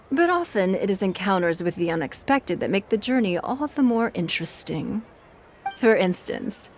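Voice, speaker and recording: A woman playing a shrewd, refined, wealthy businesswoman in a video game, speaking in a measured, formal, eloquent way. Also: mid-range voice, a little hiss or hum, close to an everyday voice. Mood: gracious, philosophical. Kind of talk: game dialogue acting.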